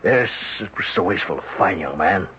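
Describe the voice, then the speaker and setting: Deep-voiced, hesitant genteel father. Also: animated, brisk. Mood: awkward, regretful. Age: middle-aged. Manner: hesitant, fussy, full of hems and haws. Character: genteel, flustered father of a grown daughter. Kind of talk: old-time radio drama acting. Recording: dull, faintly noisy old radio recording.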